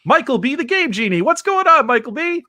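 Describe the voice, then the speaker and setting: A man's voice: extremely high pitch, a little fast, dry recording. A man hosting a live video game podcast, talking with energy and hyping up the audience. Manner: energetic, upbeat, hypes the audience. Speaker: host.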